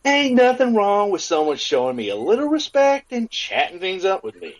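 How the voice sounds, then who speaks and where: A man voicing a goofy, boozy, defensive cowboy gunslinger in an audio story, with excitable delivery. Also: high-pitched, moderate pace, voice pushed up, exaggerated voice. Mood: defensive, boastful.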